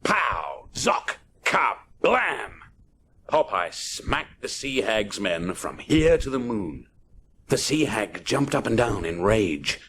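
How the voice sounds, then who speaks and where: A man's voice: fairly low pitch, sing-song, slow, fairly bright tone, a little hiss or hum. A man narrating a children's adventure story dramatically, punching out the action words. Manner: punchy, theatrical sound-effect words.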